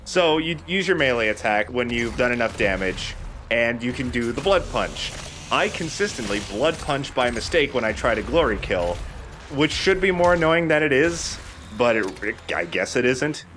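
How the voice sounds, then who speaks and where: A man's voice: medium pitch, big pitch swings, normal speed, a bit bright, a little hiss or hum. A man giving casual, enthusiastic gameplay commentary as a let's play host, with some casual swearing.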